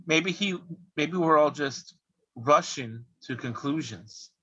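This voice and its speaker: A man's voice: on the high side, takes it slowly, fairly bright tone, muffled recording, a little hiss or hum. A man on a podcast joking about a movie villain and swearing. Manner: joking, profane. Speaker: podcast co-host. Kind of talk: casual movie chat.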